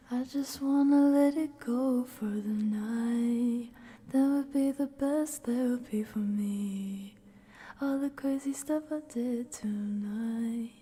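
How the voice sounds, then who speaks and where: A woman singing softly as a streamer on an ASMR stream of song requests. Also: on the high side, husky, in a large hall. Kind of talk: soft ASMR singing stream with casual chat.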